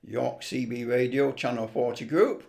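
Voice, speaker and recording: Guy doing a casual hobby video walkthrough, average pitched voice, kinda rambly, talks to himself as he goes. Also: moderate pace, creaky, darkish tone. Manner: unscripted, rambling, talks to himself while working. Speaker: amateur video presenter, CB radio hobbyist. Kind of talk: casual screen tutorial narration.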